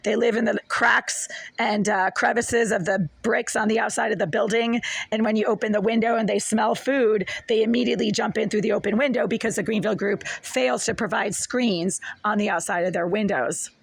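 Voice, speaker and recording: A woman with a hoarse, strained voice, filming a long complaint in her apartment kitchen on her phone, going over her grievances in detail and repeating herself. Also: mid-range voice, steady tone, moderate pace, dry recording. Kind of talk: complaint video filmed at home.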